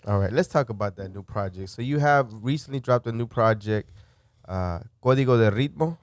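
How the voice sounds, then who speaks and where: A male podcast host whose first language is not English, chatting in a relaxed, slangy way. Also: medium pitch, a little fast, no echo, clean studio sound. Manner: relaxed, slangy, calls guest bro. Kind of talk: casual interview chat.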